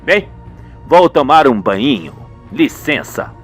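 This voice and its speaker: Man playing a cocky, low-voiced hitman. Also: sing-song, slow pace, faint music behind, exaggerated voice. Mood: feigned concern. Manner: smug, casual, slangy. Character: cocky, cold-blooded male hitman.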